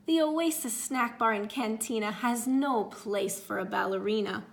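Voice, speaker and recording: Dreamy little javelina girl, done by a lady reading, storybook style, sing-song and theatrical, highish voice. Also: measured pace, creaky, echoey room. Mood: wistful. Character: dreamy young female javelina, aspiring ballerina singer. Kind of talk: children's storybook character voice.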